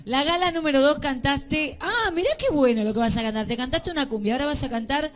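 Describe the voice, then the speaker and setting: A woman's voice: high voice, fast, a bit bright. A woman with a Rioplatense Argentine accent, hosting a talent show on a club stage and chatting playfully with a contestant. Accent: Rioplatense Spanish. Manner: chatty and teasing, showering praise. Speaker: show host. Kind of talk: casual stage interview. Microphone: handheld mic.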